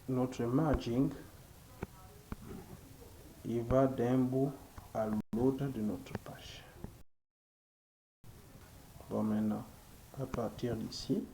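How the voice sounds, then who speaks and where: Slow gravelly french guy walking thru code, lots of "ok", loud noise behind. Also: mid-range voice. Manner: slow, thinking aloud while typing, frequent "ok", addresses viewers. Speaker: web development instructor. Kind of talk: screen-recorded coding tutorial.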